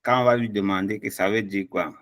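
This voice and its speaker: A man's voice: on the low side, steady tone, fairly quick, a bit bright, dry recording. A man closing an online language class on a video call, speaking hesitantly with many fillers.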